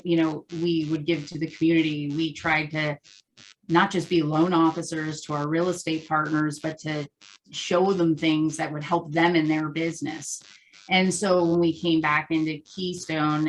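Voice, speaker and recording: A woman's voice: lowish voice, a bit bright, low-quality recording. A woman answering in a conversational interview as a company co-founder, explaining earnestly and posing rhetorical questions.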